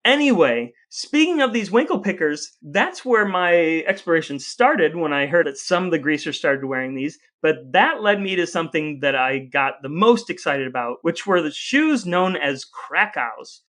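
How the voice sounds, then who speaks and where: Male podcast co-host, somewhat high-pitched, conversational storyteller with a jokey, wry streak. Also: lively intonation, moderate pace, hardly any pauses, fairly bright tone.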